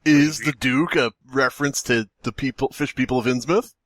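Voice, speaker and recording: A man's voice: medium pitch, normal speed, fairly bright tone, no room sound. A man co-hosting a podcast, chatting in a casual, joking style. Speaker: podcast co-host. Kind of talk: casual, joking group chat.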